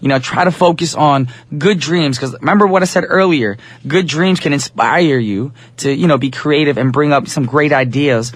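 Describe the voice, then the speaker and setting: Husky-voiced man DJing a radio show. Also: fairly high pitch, normal speed, few pauses, dry recording. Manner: easygoing, playful, says y'all. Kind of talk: casual radio chat. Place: radio studio. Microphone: studio mic.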